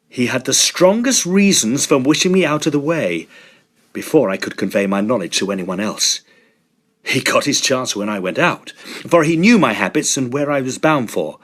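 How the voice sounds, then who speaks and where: An actor playing a shaken, earnest young Victorian Oxford student in an audio drama, speaking formally and with dramatic agitation. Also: male voice, mid-range voice.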